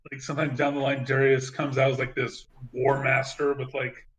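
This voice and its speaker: A man chatting casually and haltingly as a tabletop game player. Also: mid-range voice, flat delivery. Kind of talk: casual chat. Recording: clearly noisy home mic with clicks.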